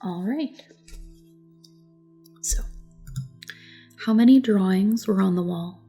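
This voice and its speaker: A soft, breathy woman gently giving calm, reassuring instructions in an ASMR roleplay, often dropping to a whisper. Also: mid-range voice, slow pace, faint music behind. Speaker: ASMR roleplayer as a test examiner. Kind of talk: soft-spoken ASMR personal attention roleplay.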